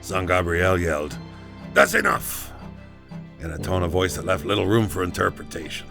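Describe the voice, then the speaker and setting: Shaken soldier telling his story, real gravelly, swings up and down, kinda halting, radio play thing. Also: low-pitched, expressive, music in the background. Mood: shaken, intense. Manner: halting, conversational storytelling with hesitations. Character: rattled male battalion soldier recounting a disturbing morning. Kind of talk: dramatic first-person monologue in an audio drama.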